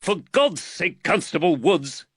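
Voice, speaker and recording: Exasperated male magistrate played by a male narrator, commanding, short-tempered, bright forceful edge, animated pitch, audiobook. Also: measured pace, husky, no room sound, clean studio sound, exaggerated voice. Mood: furious, snapping. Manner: snappish, commanding. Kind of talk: audiobook character dialogue.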